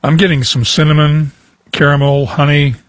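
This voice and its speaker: A man's voice: fairly high pitch, little pitch movement, normal speed, no room sound, poor audio. A man hosting an interview, with brief questions and tasting comments. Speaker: interview host. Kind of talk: casual interview chat.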